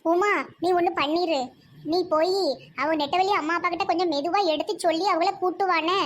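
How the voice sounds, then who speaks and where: Lady doing a nosy teasing village neighbour cartoon voice, super high pitched, tirunelveli tamil accent. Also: fairly even intonation, a little fast, a little hiss or hum. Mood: worried. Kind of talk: comedy cartoon dialogue.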